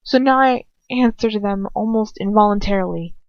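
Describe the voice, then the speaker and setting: A woman narrates a first-person story with dramatic feeling at a medium pitch. The sound is dry and a little dull, like a homemade lo-fi audiobook. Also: moderate pace, no room sound. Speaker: amateur audiobook reader. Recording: dull, lo-fi close recording.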